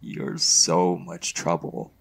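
A man acting as a shy, smitten young college mage in an intimate boyfriend roleplay, speaking casually and haltingly. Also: sits low, little pitch movement, vocal fry, background noise, heavily acted. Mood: teasing, casual. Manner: hesitant, halting, casual slangy delivery.

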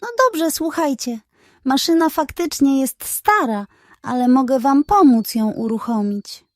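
A man's voice: very high-pitched, measured pace, no room sound, studio quality, pitched above the performer's own voice. A woman voicing a stern but kind-hearted male pig detective in a children's bedtime story. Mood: relenting. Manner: stern, huffing.

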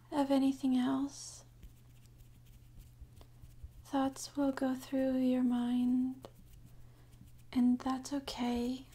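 A woman speaking softly and half-whispering in a gentle, soothing, unhurried way, as an ASMR guide leading a sleep meditation. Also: sits high. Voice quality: soft, breathy, half-whispered.

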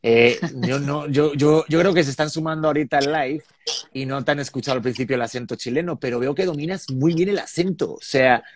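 A man's voice: a little high, brisk. Chatty Spanish host man. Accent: Spain Spanish. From Spain. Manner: chatty, relays viewer comments. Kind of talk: live-stream interview. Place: indoor room with colored lights. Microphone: studio mic on stand.

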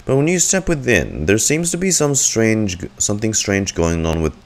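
Low-voiced young man reacting to a game. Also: sing-song, normal speed, a little hiss or hum. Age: young adult. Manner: exclamatory, informal, swearing. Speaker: game streamer. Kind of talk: live gameplay reaction commentary. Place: indoor room at home. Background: game music.

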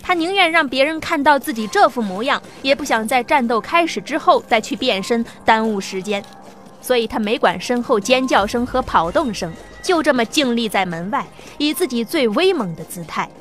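A woman reading a science fiction audiobook with steady, dramatic storytelling. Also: fairly high pitch, normal speed, soft background music. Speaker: audiobook narrator. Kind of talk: scripted narration.

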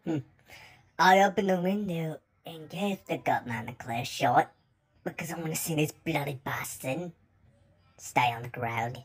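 A woman voicing a haughty, coldly murderous demon noblewoman in an amateur cartoon comic dub, with a husky voice. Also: low voice, measured pace. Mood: coldly vengeful.

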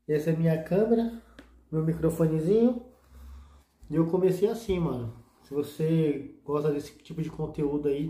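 Chatty man vlogging, dark echoey voice. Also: fairly high pitch. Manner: laid-back, chatty, full of slang fillers. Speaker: motovlogger. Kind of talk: casual slangy show-and-tell vlog. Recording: echoey room.

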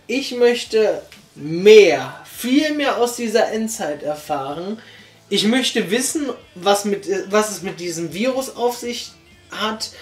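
A young man reviewing an audio drama, speaking casually from a sofa in a small living room, rambling with many fillers and restarts, picked up by a distant camera. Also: high voice. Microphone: far camera. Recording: roomy room sound, faint music.

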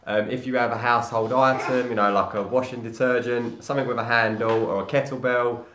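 A young man fitness instructor, relaxed and jokey, explaining a workout plainly, recorded by a distant camera in a small studio. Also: mid-range voice, fairly quick, slight background noise. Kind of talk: casual workout chat. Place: small bright studio room. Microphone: far camera. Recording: echoey room.

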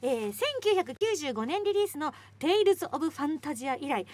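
A woman's voice: sits high, big pitch swings, brisk, darkish tone. A woman hosting a radio show, moving between light chat and program announcements.

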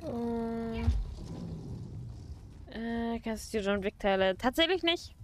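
A woman's voice: on the high side, expressive, takes it slowly, slight background noise. A young woman streaming a game, chatting casually with viewers and laughing often. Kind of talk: casual let's play chat.

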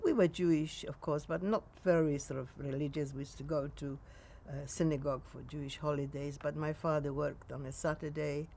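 A woman in her nineties with a Polish accent, recounting memories in a halting way in a sunny garden. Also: sits low, steady tone, creaky, mellow, a little hiss or hum. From Poland. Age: 90s. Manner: halting, with frequent pauses and restarts. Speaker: interviewee.

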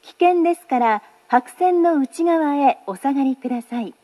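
A woman's voice: high voice, normal speed, heavy background noise. A woman making formal, carefully enunciated recorded train platform announcements as a station announcer.

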